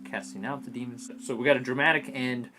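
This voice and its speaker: A man with an average-pitched voice chats as a fan podcast host. He is inquisitive, summing up and then posing questions. The recording has plainly audible noise and a hum. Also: steady tone, quick pace. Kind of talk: casual discussion of an audio drama.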